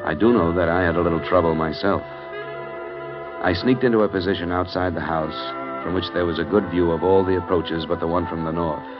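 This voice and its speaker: An actor playing a hard-boiled, wry insurance investigator narrating a 1950s radio drama in a clipped manner. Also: male voice, low voice, steady tone, normal speed, lightly acted. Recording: dull old radio recording with music.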